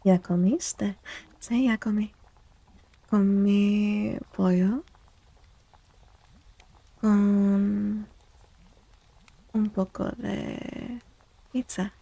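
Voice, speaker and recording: A woman from the United States chatting softly and casually in an ASMR style, with a gentle, encouraging manner, as a streamer talking to viewers. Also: mid-range voice, fairly even intonation, very slow, gravelly, long pauses, dry recording. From United States, born to Mexican parents. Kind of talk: soft-spoken ASMR casual chat. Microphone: close, dry microphone.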